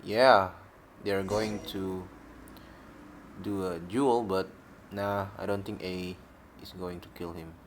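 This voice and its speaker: A man's voice: low-pitched, steady tone, slow, pauses often, a little hiss or hum. A man giving casual commentary while he plays a video game. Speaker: gamer. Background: game music.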